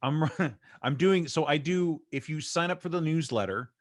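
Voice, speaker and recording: A man's voice: fairly high pitch, normal speed. A man hosting a podcast in casual chat, loose and joking, often laughing. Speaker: podcast host.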